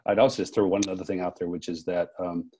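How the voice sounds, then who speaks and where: A man interviewing a guest conversationally, with halting, wry and wordy phrasing. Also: low-pitched, flat delivery, mellow, a little hiss or hum. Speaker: interviewer, festival co-director.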